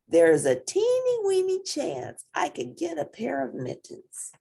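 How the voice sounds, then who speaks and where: A woman voicing a small, earnest, anxious storybook mouse with a pleading, squeaky manner. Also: huge pitch swings, a little slow, creaky, dark tone, a little hiss or hum, full character voice.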